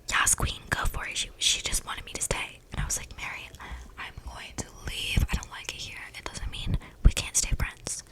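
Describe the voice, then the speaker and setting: A woman voicing an eccentric, flippant young vampire in a breathy ASMR whisper, rambling in casual slang close to the microphone. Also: natural voice, low-quality recording. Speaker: ASMR roleplayer. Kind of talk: whispered ASMR storytelling roleplay. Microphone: close microphone picking up breaths.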